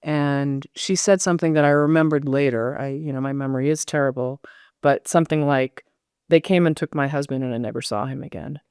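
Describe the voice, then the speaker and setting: A middle-aged American woman speaks in a smooth, clear voice, close and dry. She thinks aloud in a reflective interview style, often circling back and questioning herself. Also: rumbling low, steady tone, fairly quick, darkish tone, no echo. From United States. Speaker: novelist, podcast guest.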